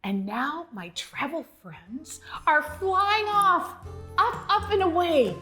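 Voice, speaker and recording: High, animated woman reading a storybook. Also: slow pace, soft background music. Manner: dramatic, animated. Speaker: children's author reading their own book. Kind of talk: expressive storybook narration with asides.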